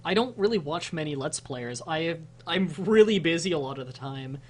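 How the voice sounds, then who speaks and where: A co-streamer chats over a strategy game with dry, teasing remarks. The voice sits at an ordinary middle pitch, with a faint noise under the recording. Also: expressive, moderate pace. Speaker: let's play co-streamer. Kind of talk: casual gaming chat.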